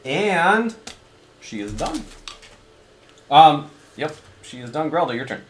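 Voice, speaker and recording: A man's voice: on the high side, sing-song, slow pace. A man acting as game master, casually running a home tabletop game and talking the players through each turn. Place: home, around a gaming table. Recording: noisy room recording with clicks.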